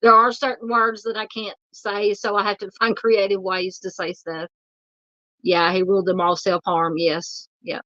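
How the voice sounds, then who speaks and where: Southern woman, livestream host, hoarse and tiring, halting and polite, dry and slightly muffled mic. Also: mid-range voice, flat delivery, bright, forceful tone, dry recording. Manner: halting, filler-heavy, polite with viewers. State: losing her voice. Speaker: true crime livestream host. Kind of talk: casual livestream chat reading viewer comments.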